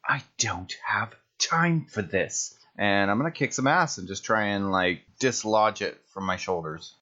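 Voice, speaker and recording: Low-voiced man, slightly dull recording. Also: measured pace, sharp tone. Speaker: player in a tabletop roleplaying game. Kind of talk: casual game-table talk.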